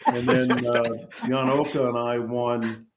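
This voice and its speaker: An older man who is a guest on a chat show, telling stories in a halting way with many pauses and fillers. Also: medium pitch, flat delivery, a little hiss or hum. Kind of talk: casual chat.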